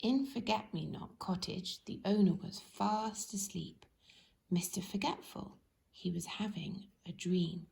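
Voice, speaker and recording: A woman's voice: lowish voice, unhurried, vocal fry, a little mellow. A woman storyteller narrating a children's storybook.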